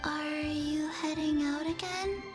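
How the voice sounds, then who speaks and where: Game role: tiny earnest girl, extremely high voice, halting and dragging every word. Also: steady tone, music in the background. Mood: slow, concerned. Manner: halting, drawn-out delivery. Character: small slow-speaking child girl, earnest and worried. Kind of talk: video game voice acting.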